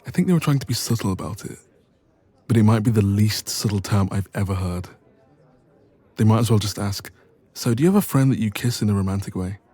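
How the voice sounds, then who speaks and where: A man's voice: on the low side, warm tone, husky. A man playing a bashful, evasive best friend with a secret crush in an intimate romance audio roleplay. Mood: amused, sheepish. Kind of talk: romance ASMR roleplay, intimate one-sided conversation.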